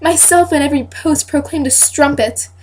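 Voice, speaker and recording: A young woman playing a wronged, dignified queen who defends her honour in deliberate, declamatory Shakespearean verse. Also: high-pitched, a little mellow, lightly acted. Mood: wounded, defiant. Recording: noisy amateur home recording.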